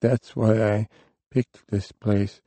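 A man's voice: on the low side, steady tone, takes it slowly, mellow, no echo, voice pushed up. A man voicing an ancient, frail male warlock who is dying, with a thin, strained old voice, in an audiobook reading. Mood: weak.

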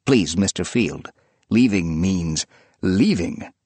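A man voicing a patient, matter-of-fact professor in a plain, even tone close to his own voice. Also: low voice, takes it slowly, breathy edge, no echo, full character voice. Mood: calm. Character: calm, measured male time-travel professor.

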